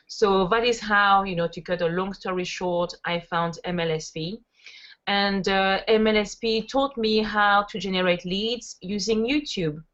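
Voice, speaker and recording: A woman's voice: medium pitch, fairly even intonation, measured pace, fairly bright tone. A woman in her thirties from France, chatting in a casual interview as a guest and telling stories with frequent fillers. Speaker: interview guest, network marketer. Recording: dull lo-fi audio.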